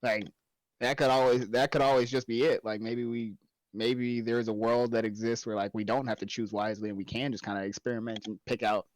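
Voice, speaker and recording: A man's voice: mid-range voice, level tone, dry recording. Laid-back man with an Oklahoma accent. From Oklahoma, USA. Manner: laid-back, joking, drawn-out musing. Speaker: stream guest. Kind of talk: casual chat.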